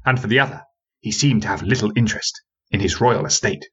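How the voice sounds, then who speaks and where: A man voicing a sharp, skeptical young woman lawyer who speaks in a cutting, coolly dismissive way. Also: on the low side, a little fast, breathy edge, muffled recording.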